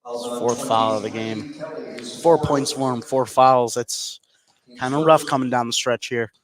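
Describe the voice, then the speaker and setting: A man's voice: mid-range voice, fairly even intonation, a little slow. A man giving casual, slangy live analysis as a basketball color commentator. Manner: informal, slangy. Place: indoor school basketball gym. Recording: crowded gym behind the voice. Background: crowd noise in gym.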